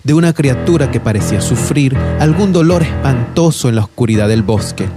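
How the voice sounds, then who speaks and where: A man's voice: mid-range voice, expressive, fairly quick. A man narrating a scripted radio novela in a theatrical, literary storytelling manner. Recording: music under the voice. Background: background music.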